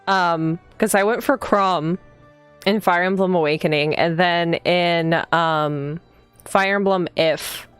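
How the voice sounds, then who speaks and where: A woman's voice: on the low side, takes it slowly, smooth, no echo. A woman streaming a visual novel, reading aloud and reacting casually with chatty asides. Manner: chatty asides, stumbles over unfamiliar words. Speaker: VTuber game streamer, host. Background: game music.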